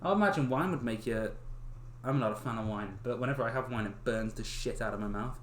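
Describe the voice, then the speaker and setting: A young man reading stories aloud on a livestream in a casual, expressive way, swearing and breaking off with joking asides. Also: mid-range voice, quick pace, a little hiss or hum. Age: young adult. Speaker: livestream host reading fairy tales aloud. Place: small bedroom. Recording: roomy echo of a small room.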